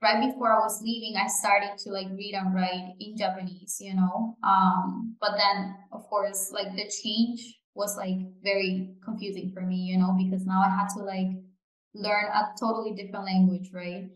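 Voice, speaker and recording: A woman's voice: medium pitch, little pitch movement, normal speed, roomy sound, rough recording. A young woman from the Canary Islands, co-hosting a podcast in casual chat, full of fillers and "you know". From Canary Islands, Spain. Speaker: podcast co-host.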